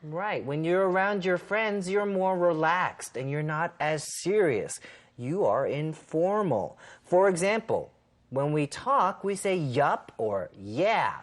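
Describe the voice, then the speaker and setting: A woman's voice: on the low side, animated, slow pace. A woman co-hosting an English lesson, explaining simply from a script with careful, emphatic enunciation. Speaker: co-host of an English lesson show.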